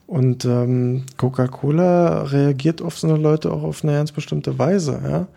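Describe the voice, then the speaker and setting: Hesitant man, gravelly and dark. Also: fairly high pitch, steady tone, measured pace. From Berlin, Germany. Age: 30s. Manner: hesitant, many fillers and restarts. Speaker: bar co-owner interviewee. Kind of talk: reflective interview answers.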